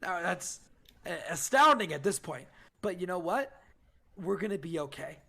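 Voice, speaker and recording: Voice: sing-song, normal speed, bright, forceful tone, heavily acted. A game master playing a panicked young man who pleads in a rising, desperate voice. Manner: desperate, pleading, high-pitched.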